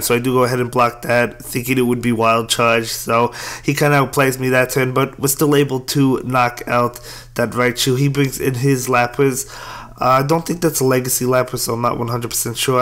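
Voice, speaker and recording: Hoarse male gamer, casual play-by-play, flat delivery. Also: medium pitch. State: hoarse, losing his voice. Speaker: game commentator. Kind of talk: gameplay commentary.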